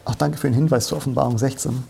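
Man, mid pitch, gravelly, conversational Bible teaching, explanatory, question-and-answer phrasing. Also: fairly even intonation, very fast, warm tone, a little hiss or hum. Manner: explanatory, didactic, asks and answers own questions.

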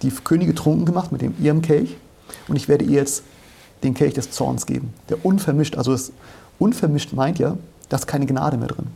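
A man's voice: a little high, moderate pace, vocal fry, warm tone, breathy edge. A man talking through Bible passages in a conversational, explanatory way, often posing questions and answering them himself. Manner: explanatory, didactic, asks and answers own questions.

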